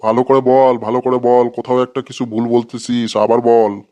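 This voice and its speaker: A man's voice: medium pitch, flat delivery, moderate pace, warm tone, natural voice. A man voicing an exasperated, sarcastic male schoolteacher in a comedy cartoon, scolding in colloquial speech. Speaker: cartoon voice actor.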